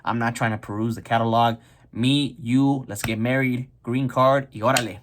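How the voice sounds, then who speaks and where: A man's voice: mid-range voice, fairly even intonation, moderate pace, a little hiss or hum, lightly acted. A man voicing a flirtatious, swaggering Spanish nobleman in a comic story, using modern slang. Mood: eager. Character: flirtatious, cocky Spanish nobleman, smooth-talking suitor.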